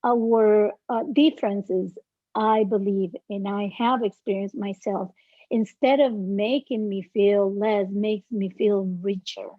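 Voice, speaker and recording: A woman from the Dominican Republic with a Dominican Spanish accent, a podcast guest who talks in an earnest, reflective way with many pauses and fillers. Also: medium pitch, fairly even intonation, no room sound, narrow, tinny audio. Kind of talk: podcast interview.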